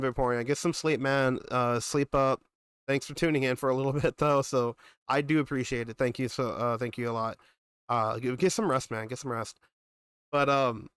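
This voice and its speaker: A man's voice: mid-range voice, a bit bright, smooth, no room sound. A young man with a cold, sounding congested, chatting casually with viewers as a gaming streamer and rambling on with asides. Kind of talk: casual live-stream chat.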